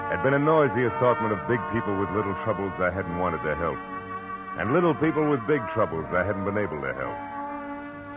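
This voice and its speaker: A hard-boiled private eye in an old radio drama, speaking low and deep. He narrates in terse, wry first person and talks tough. The broadcast carries music behind him. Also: rough recording. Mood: weary. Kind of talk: 1940s radio drama acting.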